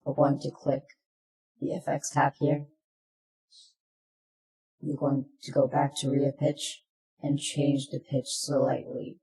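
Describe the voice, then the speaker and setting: Very deep female-sounding voice, dark tone, unhurried, monotone, tutorial narration, echoey room. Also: vocal fry. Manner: plain step-by-step instructing. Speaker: tutorial presenter.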